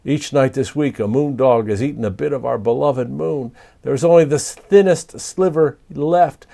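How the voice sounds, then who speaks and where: A man's voice: medium pitch, moderate pace, gravelly, warm tone. A man voicing a chorus of timid, worried rainforest night animals with a whiny, pleading way of talking. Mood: upset.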